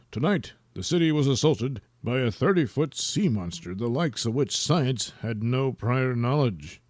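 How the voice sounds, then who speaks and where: A man voicing a stiff, solemn TV news anchor in a formal, deadpan manner for a comic audio drama. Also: mid-range voice, dry recording, clean studio sound, lightly acted.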